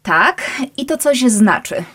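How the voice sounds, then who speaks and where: A woman's voice: fairly high pitch, big pitch swings, takes it slowly, a bit bright, breathy edge, heavily acted. An actress playing a worried, sensible young lady in a radio play. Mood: doubtful.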